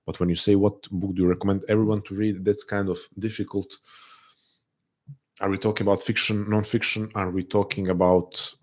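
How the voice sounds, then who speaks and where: A man speaks English with a non-native accent that listeners often take for Russian. His voice is very low and quite even in pitch. He talks softly and hesitantly into a desk mic, restarting his sentences as he answers a live chat. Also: brisk. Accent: non-native English, often taken for Russian. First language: not English. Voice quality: soft-spoken, hesitant, many restarts. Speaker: livestream host answering chat.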